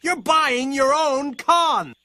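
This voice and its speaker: An actor playing an excitable roguish adventurer in an animated film, exclamatory and theatrical. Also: male voice, very high voice, takes it slowly, slight background noise, exaggerated voice. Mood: mock outrage.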